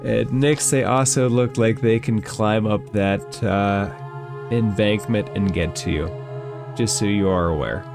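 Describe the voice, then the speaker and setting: A man's voice: on the low side, unhurried, creaky. A man acting as game master, narrating a tabletop game and talking through rulings aloud. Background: background music.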